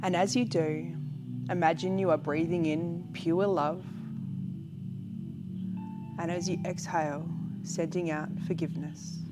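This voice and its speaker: A woman leads a guided relaxation meditation in a gentle, calm, unhurried way, leaving long pauses between phrases. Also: fairly low pitch. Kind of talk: guided pregnancy relaxation meditation, spoken slowly and soothingly. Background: background music.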